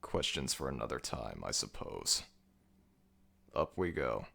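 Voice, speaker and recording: Ancient jackal death god, super deep, slow and imperious, brooding, voice swings around, asmr roleplay. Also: male voice, big pitch swings, sharp tone, heavily acted. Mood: pondering, concerned. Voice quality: very deep, gravelly. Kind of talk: ASMR audio roleplay acting.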